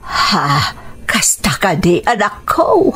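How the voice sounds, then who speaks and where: A woman's voice: medium pitch, sing-song, slow, a bit bright, breathy edge, heavily acted. A woman playing a worried mother in a radio drama, with a Filipino accent and plaintive, sighing delivery. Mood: worried, resigned.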